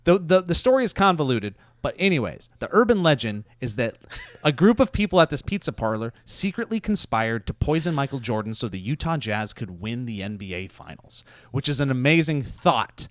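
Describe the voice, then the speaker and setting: A man telling stories and pitching ideas casually on a podcast, digressive and loosely conversational, with some swearing. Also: sing-song, a little fast, dry recording. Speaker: podcast host. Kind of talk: casual comedic storytelling and pitching.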